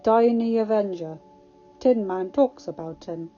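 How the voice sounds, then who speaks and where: Woman putting on a slow, childlike hulking brute. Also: mid-range voice, lo-fi sound, soft background music, lightly acted. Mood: gentle, simple. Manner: slow, broken simple speech. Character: huge childlike green brute, lonely and gentle.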